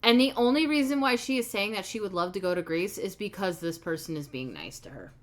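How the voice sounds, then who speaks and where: A woman hosting a reaction video, giving blunt, sarcastic commentary while pausing clips. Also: medium pitch, lively intonation. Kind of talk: commentary over paused clips.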